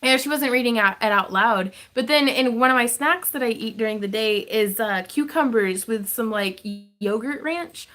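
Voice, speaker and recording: A woman's voice: fairly high pitch, moderate pace, few pauses, a bit bright. A woman hosting a craft livestream Q&A, chatty and giggly, with lots of fillers, from her home craft room. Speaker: craft channel host. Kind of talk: livestream Q&A chat.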